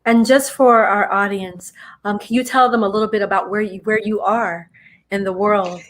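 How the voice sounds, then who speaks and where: A woman's voice: medium pitch, steady tone, moderate pace. A woman moderating an online panel, polite and encouraging.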